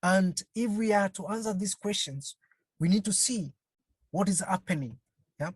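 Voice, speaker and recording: Husky-voiced counsellor asking rhetorical questions. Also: mid-range voice, expressive, dry recording. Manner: asks the audience many rhetorical questions; repeats phrases, slow and halting. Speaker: counselling trainer. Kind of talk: online webinar talk. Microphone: video call.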